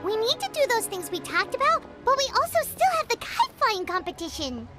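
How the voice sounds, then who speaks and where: Tiny squeaky cheerful girl sidekick for a game, super high and bouncy, music behind. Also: very animated, fairly quick. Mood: excited. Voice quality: squeaky, childlike. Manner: bubbly, informal, eager. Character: small cheerful childlike companion, female, eager and chatty. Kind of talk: video game voice acting.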